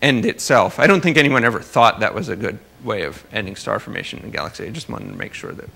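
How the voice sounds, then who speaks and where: A man giving an academic conference talk as a researcher, halting and rephrasing as he explains his slides. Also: fairly low pitch, big pitch swings, creaky, bright, forceful tone, breathy edge. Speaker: astrophysics researcher presenting at a conference.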